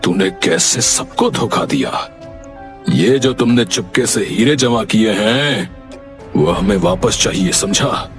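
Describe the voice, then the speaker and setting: A man's voice: lowish voice, lively intonation, slow, over music. A man voicing a greedy, bullying village landowner who schemes and commands menacingly in a cartoon moral story. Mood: angry, accusing. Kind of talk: animated moral story acting.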